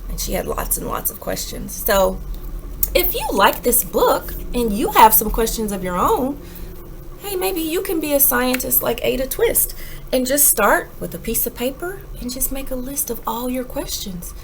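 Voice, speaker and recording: A woman reading a children's storybook aloud like a teacher, with dramatic pauses and emphatic phrasing. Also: high voice, very animated, gravelly, a little hiss or hum. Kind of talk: expressive children's read-aloud, rhyming storybook narration.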